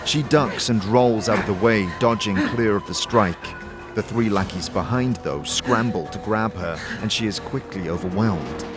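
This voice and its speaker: A man's voice: fairly low pitch, moderate pace. A man narrating a fantasy story to the listener as game master, in a scripted, dramatic style. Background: background music.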